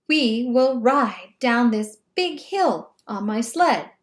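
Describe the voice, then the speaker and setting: A woman's voice: a little high, animated, slow. A woman voicing a cheerful, reassuring male frog who urges a friend along in a children's storybook. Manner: bright encouraging urging. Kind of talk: children's storybook read-aloud.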